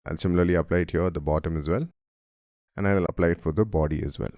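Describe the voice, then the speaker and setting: A man from India with a cold, narrating a friendly software tutorial and calling his viewers guys. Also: low-pitched, fairly even intonation, quick pace, no room sound, studio quality. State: has a cold, voice deeper than usual. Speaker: software instructor. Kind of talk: screen-recorded tutorial narration.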